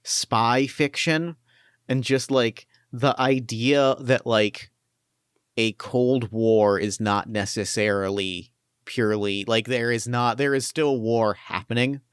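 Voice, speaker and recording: A man running a tabletop game as game master, thinking aloud and pitching story ideas with eager restarts. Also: steady tone, slow, smooth, no echo, clean studio sound. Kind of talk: collaborative planning for a tabletop game.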